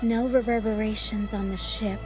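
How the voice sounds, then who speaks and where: A woman's voice: medium pitch, level tone, moderate pace, close to an everyday voice. Woman playing a composed, watchful first mate, music behind. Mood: guarded, serious. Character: composed, watchful young female first mate of a seafaring troupe. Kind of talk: video game voice acting. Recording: background music under the voice.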